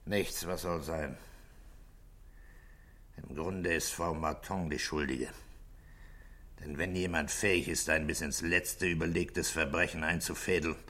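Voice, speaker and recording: Deep, sharp-voiced shrewd police inspector. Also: male voice, fairly even intonation, exaggerated voice. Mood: calm, resigned. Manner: deliberate, insistent questioning. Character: shrewd male police inspector, methodical, grave, probing. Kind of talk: 1960s German radio drama acting. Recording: vintage 1960s radio studio recording.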